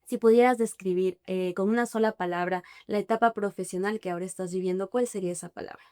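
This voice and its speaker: A woman's voice: medium pitch, fairly even intonation, fast talker, a little mellow, smooth, no echo, clean studio sound. A woman hosting a podcast interview, asking friendly questions to prompt her guest. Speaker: podcast host.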